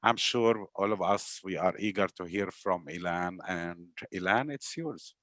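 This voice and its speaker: A man's voice: deep voice, fairly bright tone, no room sound. Earnest Palestinian-accented man, dry sound. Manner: earnest, halting, many fillers. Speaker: webinar host. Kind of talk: introducing a guest speaker. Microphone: video call.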